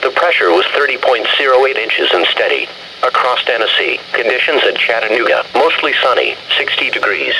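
A synthetic man's voice reading a scripted weather bulletin in formal, measured, clipped phrases through a handheld radio speaker. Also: medium pitch, very animated, noisy background. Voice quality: synthetic text-to-speech voice. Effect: played through a handheld radio speaker. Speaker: automated weather radio announcer.

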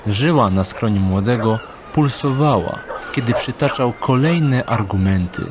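A man's voice: medium pitch, lively intonation, a little slow, noisy background. A man reading scripted narration for an audio drama.

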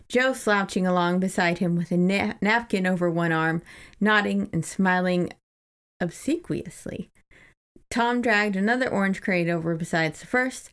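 A woman's voice: fairly low pitch, fairly bright tone, clear, resonant tone. A woman co-host chatting casually about a book and reading bits aloud, amused and enthusiastic. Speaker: podcast co-host. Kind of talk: casual book discussion with read-aloud passages.

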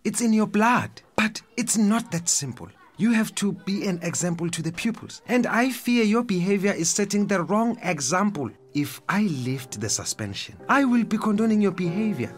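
A man's voice: high voice, expressive, moderate pace, few pauses, faint music behind. A South African actor playing a stern, conflicted male headmaster in a radio drama. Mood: earnest, regretful.

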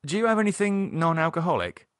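A man's voice: a little high, animated, quick pace, no room sound, clean studio sound, voice pushed up, natural voice. A man voicing a plain-spoken, uneasy British man in an audiobook, blunt but hesitantly polite. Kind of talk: audiobook character voice.

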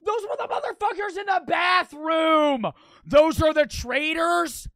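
A man in his twenties streaming a game on a headset mic, excitable and swearing, with his voice cracking from wear. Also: extremely high pitch, measured pace, shouting, soft background music. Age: 20s. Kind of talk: livestream gaming commentary. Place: small bedroom streaming setup. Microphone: headset mic on boom arm.

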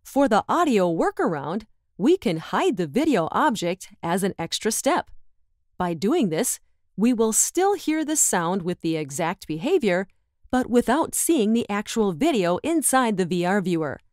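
A woman reading a scripted step-by-step software tutorial in a clear instructional way. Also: mid-range voice, very animated, measured pace, dry recording, clean studio sound. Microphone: close voice-over microphone, dry.

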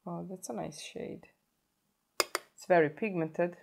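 Woman in her thirties, hoarse from a sore throat, lowish voice; a chatty beauty vlogger talking close to the camera. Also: slow pace, noisy background. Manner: chatty, self-correcting, stops to show products. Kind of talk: casual makeup tutorial. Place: indoor home filming set.

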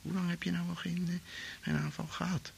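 An actor playing an earnest, gentle, idealistic professor in a Dutch radio play. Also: male voice, high-pitched, level tone, a little fast, gravelly. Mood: soothing. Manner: soothing, earnest, often hushed. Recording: hissy old radio drama recording.

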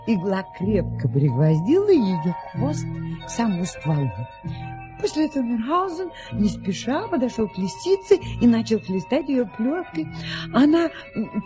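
An actress playing a poised aristocratic duchess, telling tall tales in a stately, genteel manner in an audio play. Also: female voice, medium pitch.

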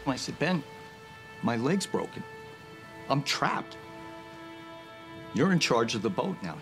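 Middle-aged man, gravelly voice, deliberate dramatic storytelling, studio interview, music behind. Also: medium pitch, big pitch swings. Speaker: interviewee, survivor. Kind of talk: first-person interview storytelling.

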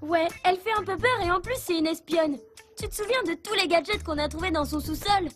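A woman voicing an eager, bossy little boy in an animated series, earnest and take-charge. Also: very high voice, a little fast, soft background music. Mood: chatty. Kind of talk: French animated series dubbing.

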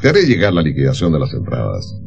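An actor playing a shrewd, tactful impresario in an old radio drama, with a measured, avuncular, sometimes hesitant manner. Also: male voice, a bass voice, wildly swooping pitch, vocal fry, a little mellow, muffled recording, soft background music, full character voice. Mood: affable. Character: shrewd, tactful businessman, male impresario of a musician.

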